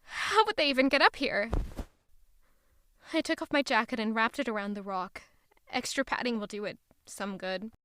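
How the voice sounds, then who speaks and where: A woman's voice: fairly high pitch, lively intonation, moderate pace, bright, forceful tone, smooth, no room sound. An actress playing an anxious young traveling woman with a secret in an audio drama, tense, guarded and hesitant. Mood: panicked, fretting.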